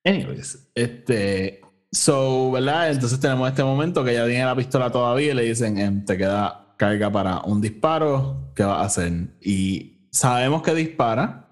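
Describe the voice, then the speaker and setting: A man's voice: mid-range voice, moderate pace. A man with a Puerto Rican accent co-hosting a casual review chat, informally mixing English words into his Spanish. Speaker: podcast co-host.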